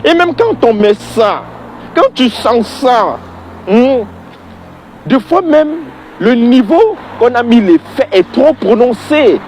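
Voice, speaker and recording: A man's voice: very high voice, big pitch swings, slow, darkish tone, slight background noise. A man giving an informal, emphatic opinion monologue to viewers, conversational and prone to repeating his phrases for weight.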